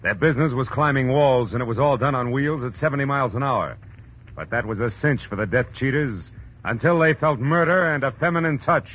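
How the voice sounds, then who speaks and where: An American actor playing a wry, world-weary private detective in clipped 1940s radio style. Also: male voice, mid-range voice, brisk, background noise, lightly acted. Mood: tense, punchy. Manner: wry, clipped, hardboiled delivery. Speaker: lead actor and narrator. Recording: dull old radio recording, music behind.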